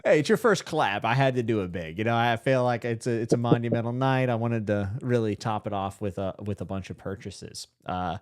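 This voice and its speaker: A man's voice: medium pitch, a little fast, hardly any pauses, clear, resonant tone. A man hosting a casual livestream podcast, rambling and self-deprecating, laughing often. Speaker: podcast host.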